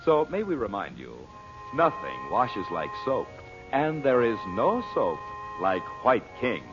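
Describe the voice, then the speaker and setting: A man's voice: mid-range voice, very animated, slow, dull audio, music in the background. A male radio announcer giving a cheerful, persuasive scripted soap ad in old-time broadcast style.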